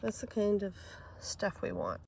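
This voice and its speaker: A woman with a cold talks slowly and easily, her voice a bit nasal and stuffed up. She is outdoors and explains as she goes, with faint insect and cricket noise behind her. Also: mid-range voice, vocal fry. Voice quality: slightly nasal. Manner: relaxed, unhurried with fillers. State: congested with a cold. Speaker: forager and video host. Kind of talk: casual how-to narration. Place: outdoors by an abandoned railway line.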